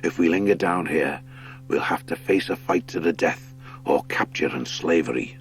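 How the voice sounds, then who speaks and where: A man voicing an old, gruff badger lord for an audiobook, commanding and deliberate in manner. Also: low voice, faint music behind. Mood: grave, musing. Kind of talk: audiobook character acting.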